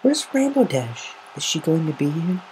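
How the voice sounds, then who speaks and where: A man's voice: on the high side, sing-song, moderate pace, a little mellow, a little hiss or hum, voice pushed up, poor audio. A man voicing a timid, anxious young female pony in a story reading, meek and questioning.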